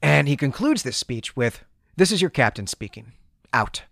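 A man's voice: mid-range voice, very animated, normal speed, vocal fry, fairly bright tone, dry recording, studio quality. A man hosting a podcast, recapping a story in a conversational, explanatory way with wry asides. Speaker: podcast host.

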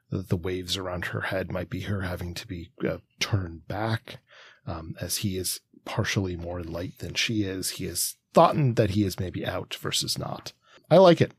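Low-voiced man, halting podcast talk. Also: husky. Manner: halting, pausing and circling as he thinks aloud. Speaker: podcast co-host. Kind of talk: conversational comics analysis, sometimes reading quotes.

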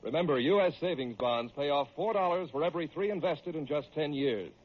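A man's voice: on the high side, expressive, fairly quick. A man announcing and reading sponsor spots in a polished 1940s radio salesman style. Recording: muffled vintage radio recording.

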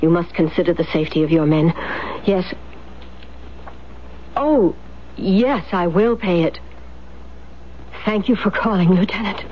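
An actress playing a cool, scheming, single-minded wealthy wife in a 1970s radio drama, poised and needling. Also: female voice, on the low side, a little slow, long pauses, close to an everyday voice. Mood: feigned concern. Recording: muffled, noisy 1970s radio broadcast.

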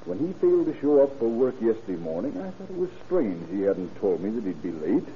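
Male worried, earnest engineer in 1930s radio crime play; lively intonation, dull, lightly noisy vintage recording. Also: lowish voice, brisk. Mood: earnest concern. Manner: earnest, explanatory. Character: concerned male radio engineer reporting a missing employee.

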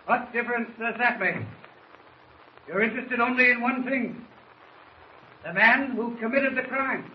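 A sinister impostor hero in an old radio serial speaks in a very high, echoing voice. He is commanding, evasive and theatrical. The recording is muffled and noisy. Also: measured pace, pauses often. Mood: menacing, insistent. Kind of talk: 1940s radio acting.

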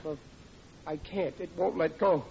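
Flustered working-class husband, high voice. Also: male voice, unhurried. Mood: agonized, wavering. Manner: blustering, hapless, protesting. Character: flustered, bumbling working-class family man, middle-aged. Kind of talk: 1940s radio comedy acting. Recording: muffled old radio recording with clear noise.

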